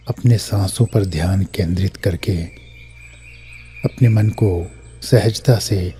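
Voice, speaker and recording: A man guiding a scripted meditation in a measured way, pausing between short phrases. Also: low voice, mellow. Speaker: meditation guide. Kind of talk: scripted guided meditation, instructional narration.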